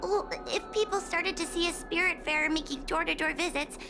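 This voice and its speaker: A woman's voice: very high voice, fairly quick, music in the background. A woman voicing a timid, anxious young fox girl in a video game, hesitant and nervous. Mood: earnest explaining.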